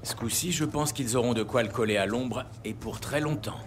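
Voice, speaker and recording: A man acting as a courteous, composed official in a crime audio series. He has a medium-pitched voice and measured, formal phrasing, with clear background noise around him. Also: close to an everyday voice. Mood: composed, satisfied. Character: composed, courteous justice official briefing a police captain.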